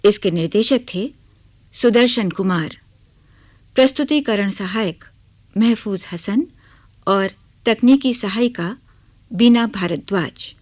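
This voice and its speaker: Slow, formal woman radio announcer. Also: medium pitch, a little mellow, dry recording. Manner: formal, measured. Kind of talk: scripted closing credits announcement. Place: radio studio.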